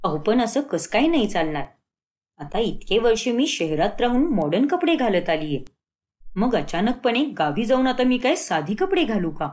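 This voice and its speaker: A woman plays a modern middle-aged mother-in-law from a cartoon moral story. She is vain and boastful, quick to argue, and her pitch swings freely. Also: sing-song, darkish tone. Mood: defiant. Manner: boastful, argumentative.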